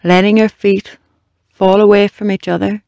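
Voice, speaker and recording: A meditation guide leading a body scan in a slow, measured, gently instructing way with long pauses. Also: mid-range voice, little pitch movement, creaky, no room sound, clean studio sound.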